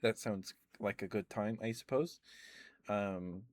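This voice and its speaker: A man rambling casually about video games in a soft-spoken ASMR stream, full of ums and uhs. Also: on the low side, slow pace, dry recording, clean studio sound.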